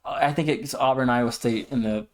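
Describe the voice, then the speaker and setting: A man's voice: medium pitch, moderate pace. A man co-hosting a sports podcast, commenting casually with an announcer-like, emphatic way of repeating himself. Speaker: podcast co-host.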